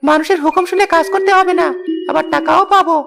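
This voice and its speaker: A boyish, scheming village lad from a cartoon fairy tale. The voice is extremely high and creaky and rattles along quickly. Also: female voice, fairly even intonation. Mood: eager. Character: lazy, scheming young village brothers, boyish and defiant.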